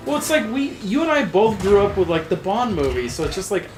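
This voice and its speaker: Casual joking male gamer co-host, animated delivery, roomy sound with game music underneath. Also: sits high, a little fast. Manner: rambling, joking, lots of fillers. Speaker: let's play co-host. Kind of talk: casual gameplay commentary.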